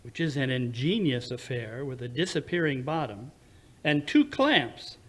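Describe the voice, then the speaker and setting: A man playing a superior, impatient gentleman detective in a radio drama, speaking precisely and with condescension. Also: medium pitch, very animated, unhurried. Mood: lecturing, superior. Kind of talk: 1940s radio drama acting.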